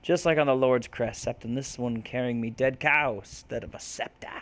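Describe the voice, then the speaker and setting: A man's voice: medium pitch, steady tone, a little fast, fairly bright tone. A man hosting a let's play, musing aloud informally and reading game text out. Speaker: gaming video host.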